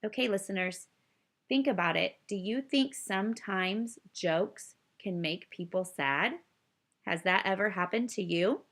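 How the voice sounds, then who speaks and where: A woman narrates a children's storybook at a slow, deliberate pace. Her voice sits at a medium pitch, and she reads expressively, as if speaking straight to young listeners. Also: a bit bright. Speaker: storybook reader. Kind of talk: children's read-aloud narration.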